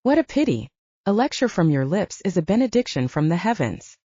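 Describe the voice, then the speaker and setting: A woman's voice: lowish voice, very animated, fairly quick, warm tone, slightly rough. Woman voicing an arrogant, seductive male wolf shifter. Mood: teasing. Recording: dull lo-fi, roomy sound.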